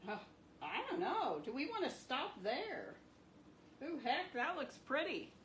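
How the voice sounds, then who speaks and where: A middle-aged woman with a sniffly cold, chatting her way through a live craft demo and softly exclaiming as she works. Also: high voice, huge pitch swings, unhurried. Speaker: marbling artist streaming live. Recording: echoey room with faint background noise.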